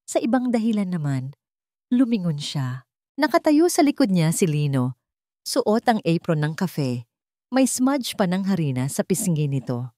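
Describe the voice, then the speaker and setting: A woman's voice: on the low side, warm tone, no echo, studio quality. A woman narrating a scripted romantic drama as a storyteller.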